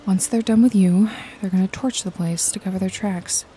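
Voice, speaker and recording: A woman's voice: mid-range voice, fairly even intonation, normal speed, warm tone, close to an everyday voice. A woman playing a wry, jaded detective and hired killer in a noir ASMR roleplay, nonchalant and teasing with a casually menacing edge. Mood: grim, annoyed. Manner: sardonic, foul-mouthed, nonchalant, teasing. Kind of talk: noir ASMR roleplay, intimate one-sided dialogue to listener. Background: jazzy music and rain effects.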